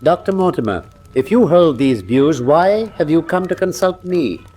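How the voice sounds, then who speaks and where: Incisive English gentleman detective, lively intonation. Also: on the high side, moderate pace. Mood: probing. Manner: probing, crisp questioning, formal. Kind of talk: radio drama acting.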